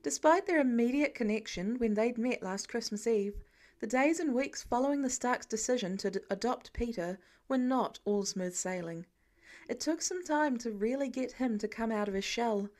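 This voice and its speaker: A woman's voice: a little high, darkish tone. A woman reading scripted fiction aloud as the narrator of an audio story. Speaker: fan fiction podfic narrator.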